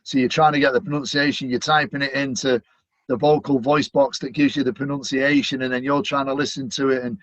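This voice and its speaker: A man's voice: mid-range voice, level tone, a little fast, fairly bright tone, dry recording. Middle-aged Mancunian man, upbeat chatty guest, dry dull lo-fi sound. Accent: Manchester. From Manchester, England. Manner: chatty, upbeat, many "you know" fillers. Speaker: guest, stadium announcer. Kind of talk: casual interview chat.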